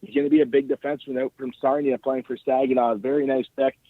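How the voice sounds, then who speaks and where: A man's voice: mid-range voice, level tone, quick pace, dry recording. Chatty Ontario man calling in by phone. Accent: Ontario Canadian. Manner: enthusiastic, chatty, tags points with "right?". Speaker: podcast host calling in as guest analyst. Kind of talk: casual sports chat. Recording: narrow phone-line call.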